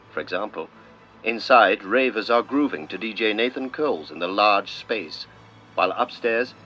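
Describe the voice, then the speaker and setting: A man narrating a script in an old-style documentary manner, with measured, solemn, deliberate phrasing. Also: lowish voice, fairly bright tone. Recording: dull lo-fi sound with clear noise.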